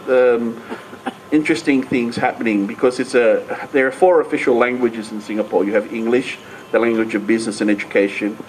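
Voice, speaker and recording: A man's voice: medium pitch, a little hiss or hum, poor audio. An older man from Australia, speaking on a panel at a book launch, hesitant and full of fillers. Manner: hesitant, many fillers and restarts. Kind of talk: public panel talk.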